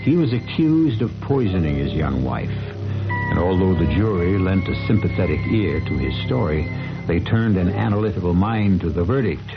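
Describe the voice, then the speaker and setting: A male radio mystery host with a deep, gravelly voice narrates theatrically, wry and deliberate. Loud music plays behind him on a muffled old recording. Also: sing-song.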